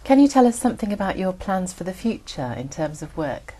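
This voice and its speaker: A woman acting as examiner in a speaking exam, giving formal, measured instructions. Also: mid-range voice, very animated, dark tone, slightly rough. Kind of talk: scripted exam prompts.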